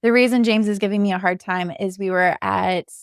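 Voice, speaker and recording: A woman's voice: medium pitch, fairly even intonation, a little fast, vocal fry, clear, resonant tone, no room sound, studio quality. A woman chatting casually as a guest on a podcast. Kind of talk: casual chat.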